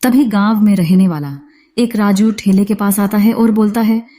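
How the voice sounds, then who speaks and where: A woman's voice: medium pitch, normal speed, warm tone. A woman narrating a scripted horror story as its storyteller.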